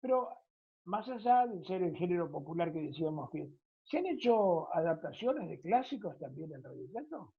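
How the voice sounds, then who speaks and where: A man's voice: high-pitched, big pitch swings, normal speed, slightly rough. An Argentine man hosting an interview, asking questions in a conversational way. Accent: Argentine Spanish. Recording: thin phone-like line.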